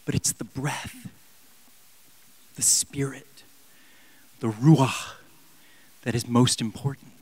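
A man in his thirties preaching a sermon in a church on a handheld mic, impassioned, swinging from loud emphatic peaks down to near-whispers. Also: medium pitch, fairly even intonation, slow pace, long pauses, slightly rough, no room sound. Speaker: pastor. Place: large church sanctuary.

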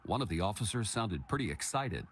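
A man's voice: on the low side, very animated, fairly quick. A middle-aged man delivering a broadcast news report as a television correspondent. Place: TV news studio. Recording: noisy replayed news broadcast.

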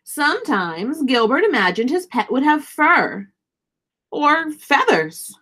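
Woman, high lively voice, expressive picture-book narration, dramatic emphasis, unhurried. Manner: animated, dramatic emphasis for young listeners. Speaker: teacher reading a picture book aloud. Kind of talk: expressive children's storybook narration. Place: small indoor room, seated.

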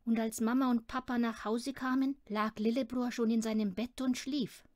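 A woman's voice: a little high, flat delivery, moderate pace, a little mellow, no echo, clean studio sound. A woman narrating a children's audiobook from a script. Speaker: audiobook reader.

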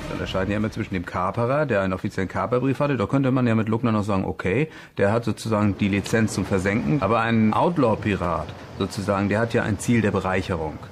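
A man's voice: lowish voice, fast, hardly any pauses, soft background music. A man speaking off the cuff as an expert interviewee, explaining things. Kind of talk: unscripted explanatory interview talk.

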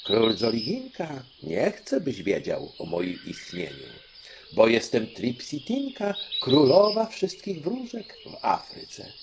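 A man voicing a composed fairy queen in an audiobook, with a measured, deliberate and theatrical delivery. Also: mid-range voice, a little mellow, slight background noise. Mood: regal, calm. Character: fairy queen granting royal children's dreams, female, composed. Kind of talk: audiobook storytelling.

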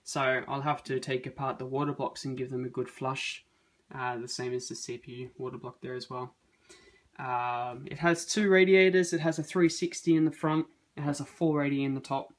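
A man's voice: mid-range voice, steady tone, normal speed. Man walking through a PC build, hesitant. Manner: hesitant, many ums and uhs. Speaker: PC builder showing a computer. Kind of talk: casual handheld walkthrough. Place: home room indoors. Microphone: phone camera held in hand.

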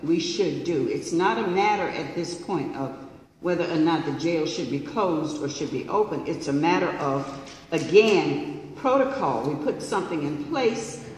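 A woman on a city council makes her case in a measured, insistent way, sticking to procedure. She sounds distant, heard from far across a big, boomy chamber. A long reverb trails her words. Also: slight background noise. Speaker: council member. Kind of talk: formal public meeting remarks. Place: large council chamber with wood panels. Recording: echoey hall from far off.

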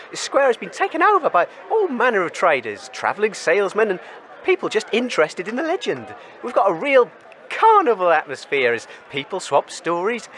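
A man acts out an eager roving reporter in a comic radio drama. The voice sits high and swoops wildly up and down. He is flustered, hesitant and excitable. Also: a little hiss or hum, exaggerated voice. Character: excitable, bumbling male wasteland radio reporter. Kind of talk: comedic radio show reporting.

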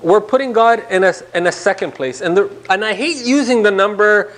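A man with a notably high-pitched voice gives an informal church lecture. He talks like a priest addressing a small group, animated and conversational, and keeps putting questions to his listeners. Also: a little fast. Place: small indoor meeting room.

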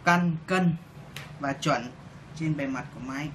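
A man narrates a step-by-step demonstration. His voice sits a little higher than usual for a man. The recording has clear background noise and ambience throughout. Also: unhurried, fairly bright tone. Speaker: shop demonstrator giving instructions. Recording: clearly noisy room recording.

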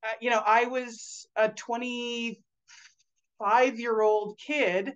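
Loud animated woman on a video call. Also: on the high side, very slow, muffled recording. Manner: loud, animated, many fillers and restarts. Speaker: guest author. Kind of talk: casual video call interview. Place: home room indoors. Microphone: video call webcam.

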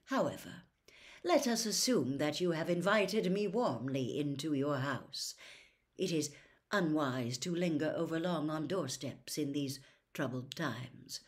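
A woman voicing a pleasant, calm old male wizard with formal, measured, polite speech in a storybook reading. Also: low voice. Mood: courteous, unruffled.

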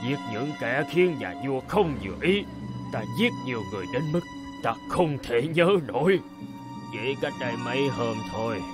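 Man acting a boastful, animated royal guard. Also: mid-range voice, lightly acted. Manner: emphatic, boastful, demanding. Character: burly boastful royal guard, hot-tempered drunken bridegroom. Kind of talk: animated folk-tale dialogue.

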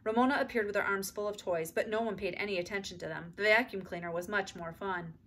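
A woman's voice: mid-range voice, quick pace, bright, forceful tone, a little hiss or hum. A middle-aged woman narrating a children's book expressively, reading aloud indoors at home. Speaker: read-aloud storyteller.

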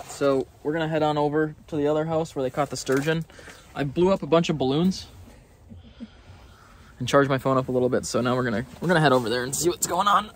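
A man's voice: mid-range voice, a little hiss or hum. A young man hosting a casual vlog from a small ice-fishing tent at night, upbeat and joking. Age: young adult. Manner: upbeat, joking, laughs often. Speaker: vlogger host.

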